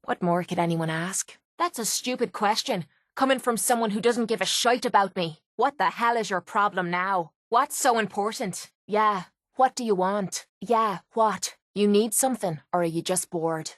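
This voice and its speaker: A woman's voice: medium pitch, normal speed, few pauses, no echo, clean studio sound, lightly acted. A woman playing a brash, foul-mouthed young female cage fighter in a video game, with an Irish accent and a blunt, cocky way of talking. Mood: warm, frank, then irritated, guarded. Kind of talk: video game companion voice lines.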